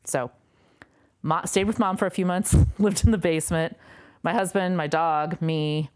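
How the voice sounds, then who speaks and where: A woman guest sharing a personal story in a reflective, unhurried way, with frequent fillers. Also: lowish voice, steady tone, a little mellow, clear, resonant tone. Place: a home.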